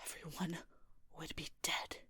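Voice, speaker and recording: A woman playing a gruff, bitter female werewolf knight in an intimate audio roleplay, husky and whispery, halting and strained with pain. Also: sharp tone, no room sound, clean studio sound. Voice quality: breathy, husky, often whispering.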